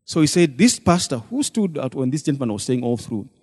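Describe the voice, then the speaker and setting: Deacon with a mellow voice in a reverberant church hall. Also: male voice, medium pitch, big pitch swings, fairly quick, husky. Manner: storytelling, acting out quoted dialogue. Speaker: deacon addressing a church congregation. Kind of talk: church testimony retelling a meeting.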